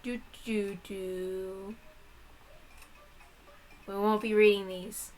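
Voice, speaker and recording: A woman's voice: mid-range voice, little pitch movement, very slow, a little hiss or hum. A woman hosting a game playthrough, reading text aloud and adding playful, casual asides. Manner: playful, giggly, swearing asides.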